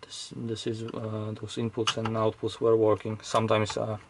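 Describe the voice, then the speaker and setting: A man's voice: fairly low pitch, monotone, moderate pace, background noise. A man giving casual, off-the-cuff technical commentary as he hosts an electronics teardown, hesitantly thinking aloud as he goes.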